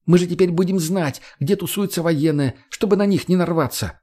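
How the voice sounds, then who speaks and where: A man's voice: high-pitched, a little fast, mellow, pitched above the performer's own voice. A man voicing a brash, sarcastic, foul-mouthed young woman in an audiobook, slangy and argumentative. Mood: bossy. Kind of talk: audiobook character voice.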